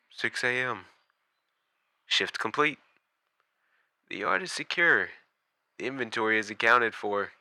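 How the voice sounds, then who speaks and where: A man playing an exhausted, frightened night watchman recording halting horror-story log entries, dramatic and sometimes breaking into whispers. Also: on the low side, sharp tone, smooth, no echo, heavily acted. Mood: eerily calm. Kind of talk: first-person horror audio log narration.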